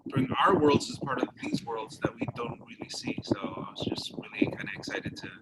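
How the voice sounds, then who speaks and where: A man's voice: mid-range voice, very animated, normal speed, in a whisper. A man, a playwright, answering casually at an online talk-back. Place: video call, noisy background. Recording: very noisy video call audio.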